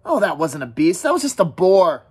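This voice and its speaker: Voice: mid-range voice, sing-song, normal speed, a little mellow, husky. A narrator playing a bossy, brash schoolboy who leads the hunt in a storybook reading. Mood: matter-of-fact.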